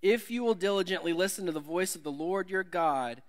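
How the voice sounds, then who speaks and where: A man's voice: sits high, a little fast. A man from Alabama, preaching a sermon as a visiting preacher in a church. He speaks in a friendly, self-deprecating way and lifts his voice when he reads scripture. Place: church sanctuary.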